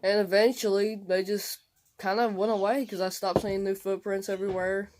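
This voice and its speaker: Excitable young guy storytelling. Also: sits high, moderate pace, background noise. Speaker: co-host telling a personal story. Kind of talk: casual storytelling chat.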